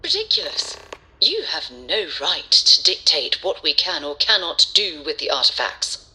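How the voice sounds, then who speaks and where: An actress playing a defiant, confident starship crew member in a video game, speaking assertively. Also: female voice, low voice, huge pitch swings, unhurried, pushed and bright, heavily acted. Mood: indignant. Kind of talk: scripted video game acting.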